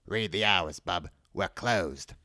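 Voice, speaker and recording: A man voicing a stubborn, gasping doorkeeper with a gruff manner in a comic story. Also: sits low, slow pace, sharp tone, no room sound, clean studio sound, heavily acted. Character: gasping, stubborn loudspeaker doorkeeper, a starstruck fan.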